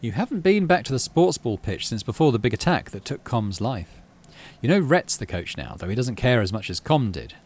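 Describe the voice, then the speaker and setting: A man's voice: medium pitch, very animated, brisk, few pauses, darkish tone. A man casually commentating on a game, thinking aloud in murmured asides and reading story text out. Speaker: gaming channel host.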